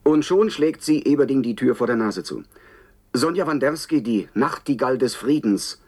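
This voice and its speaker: An actor playing an indignant, scornful television reporter giving dramatic running commentary in a German radio play. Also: male voice, mid-range voice, expressive, normal speed, darkish tone, a little hiss or hum, natural voice. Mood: scornful, excited. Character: indignant, scornful male TV reporter at an internment camp.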